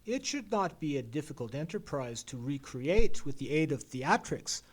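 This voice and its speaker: A man playing a shrewd gentleman detective in a live radio play, speaking formally and precisely as he questions. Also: fairly high pitch, very animated, normal speed. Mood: keen, probing. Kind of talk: live old-time radio play acting.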